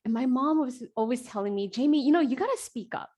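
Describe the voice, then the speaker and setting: A woman in her forties with a voice pitched a little high hosts an online coaching call. She talks in a lively, casual way, full of "like" and "uh", into a computer microphone. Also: fast. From South Korea, raised in New Jersey. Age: 40s. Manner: energetic, conversational, frequent fillers and likes. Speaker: executive coach, host.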